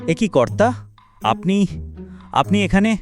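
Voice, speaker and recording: A man's voice: high voice, very animated, measured pace, darkish tone, soft background music. A man playing a scheming, blustering estate steward in a melodramatic cartoon, stammering and repeating words when flustered. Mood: flustered, evasive. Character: scheming, blustering male estate steward who hoards grain. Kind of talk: melodramatic cartoon villain acting.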